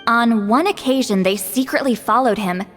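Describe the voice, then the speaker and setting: Woman as no-nonsense granny, raised pitch, brisk, sharp but caring, video game acting, light music underneath. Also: close to an everyday voice. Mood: matter-of-fact. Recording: soft game music behind the voice.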